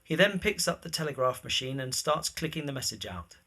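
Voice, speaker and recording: A man's voice: a little high, animated, a little fast, pushed and bright. A man narrating the scenes of an audio drama from a script.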